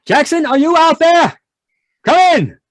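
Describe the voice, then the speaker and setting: A man's voice: very high voice, sing-song, measured pace, no echo, full character voice. An actor playing a stern, irritable husband obsessed with his work, imperious and impatient, in old-time radio drama style. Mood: frantic shouting. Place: live video call performance. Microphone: video call microphone.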